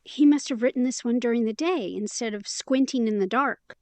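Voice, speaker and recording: An actress playing a caring, candid young woman in an intimate audio drama, speaking in a casual, gently encouraging way. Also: fairly high pitch, normal speed, dry recording, lightly acted. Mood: candid, teasing.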